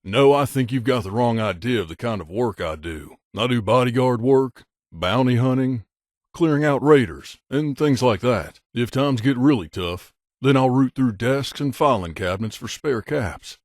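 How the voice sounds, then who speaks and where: A man's voice: medium pitch, expressive, moderate pace, dry recording, studio quality, close to an everyday voice. A man playing a middle-aged mercenary bodyguard in a video game, a blunt and guarded loner who talks in a terse, matter-of-fact, aloof way. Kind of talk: video game character dialogue.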